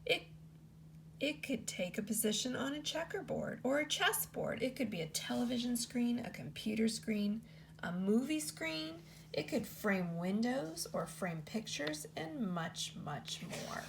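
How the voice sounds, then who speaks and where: A woman teacher reads a picture book aloud to children. Her voice has a gravelly, creaky texture and a lively, sing-song melody. A faint hum sits under her reading. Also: a little slow. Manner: expressive read-aloud with lots of pitch movement.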